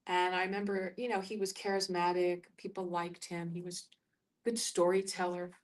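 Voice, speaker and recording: A middle-aged American woman from New York facilitating a group discussion, musing aloud and telling personal stories in halting phrases. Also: lowish voice, level tone, distant mic. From New York, United States.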